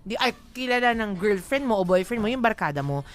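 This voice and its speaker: A woman's voice: mid-range voice, lively intonation, brisk, slight background noise. A woman with a Filipino accent co-hosting a radio show, bantering in a playful, slangy way. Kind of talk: bantering radio talk in Taglish.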